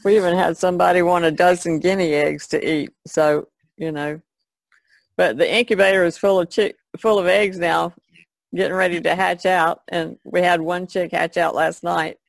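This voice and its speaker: A woman's voice: lowish voice, fairly even intonation, moderate pace, gravelly, no echo. A woman chatting casually as an artist guest on a podcast.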